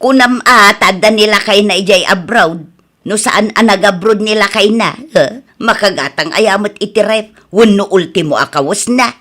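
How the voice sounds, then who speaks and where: A woman's voice: mid-range voice, moderate pace, a bit bright, close to an everyday voice. A woman with an Ilocano accent playing a snide, envious, stubborn village housewife in a comedy radio drama, scoffing and insistent.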